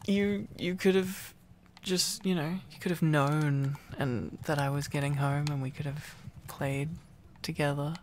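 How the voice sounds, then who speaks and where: A young man doing a boyfriend ASMR roleplay, clingy, teasing and silly, rambling playfully with halting repetitions. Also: on the high side, fairly even intonation, a little hiss or hum.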